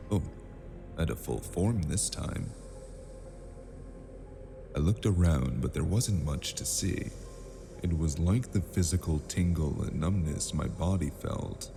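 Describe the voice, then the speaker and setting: Very deep, gravelly male narrator. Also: big-room sound. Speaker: narrator and lead character. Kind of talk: first-person noir audio drama narration.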